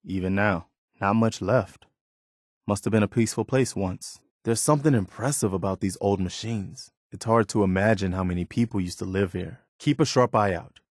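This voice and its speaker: A man's voice: on the low side, moderate pace, no echo, clean studio sound, natural voice. A man voicing an earnest, hopeful militiaman companion in a post-apocalyptic video game, speaking in a plain, wistful and wary manner. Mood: wary, watchful.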